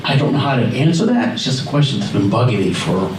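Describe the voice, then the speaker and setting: A man's voice: mid-range voice, animated, fast talker. A man giving a public comment at a town meeting, tentative and questioning, with many fillers. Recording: echoey hall with noise, distant mic.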